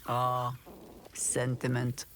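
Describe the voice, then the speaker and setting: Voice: medium pitch, completely flat delivery, extremely slow, fairly bright tone, slight background noise. An actor playing a fussy, courtly fantasy game character with a formal, arch manner and dry, suspicious wit. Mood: arch, disdainful. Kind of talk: fantasy video game acting.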